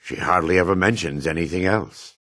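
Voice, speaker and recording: An actor playing a proud, gruff dark elf warrior in a fantasy game, with a raspy voice and a haughty, clipped, sardonic manner. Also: male voice, sits low, a little fast, no room sound. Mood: weary, matter-of-fact. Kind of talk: fantasy video game character dialogue.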